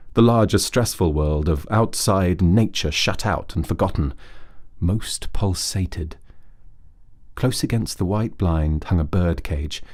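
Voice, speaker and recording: A man narrating a children's bedtime story as a storyteller, reading aloud in a measured, literary way. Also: deep voice, warm tone.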